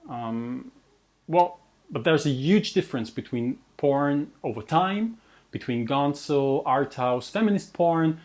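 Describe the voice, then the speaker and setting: A man's voice: on the high side, expressive, takes it slowly, darkish tone. Austrian man introducing his video series. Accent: Austrian German. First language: German. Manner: earnest, self-deprecating, with frequent restarts. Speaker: host and researcher. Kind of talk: scripted personal introduction to camera.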